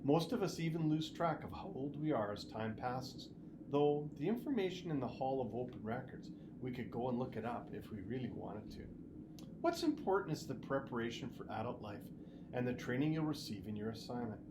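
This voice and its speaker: A storybook reader playing a caring, practical mother who gives measured, earnest advice to her child. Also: mid-range voice, lively intonation, background noise, close to an everyday voice. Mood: advising.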